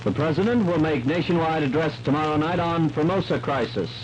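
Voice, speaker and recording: A man's voice: a little high, brisk. An American man reading scripted news in a formal announcer delivery on 1950s radio. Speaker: radio newscaster. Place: radio studio. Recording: noisy 1950s AM radio recording.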